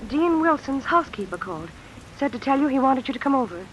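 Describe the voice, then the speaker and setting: A solicitous wife in a 1950 radio play. Her voice is somewhat high and chatty, with faint noise behind it. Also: very animated, fast, lo-fi sound. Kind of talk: 1950s radio drama acting.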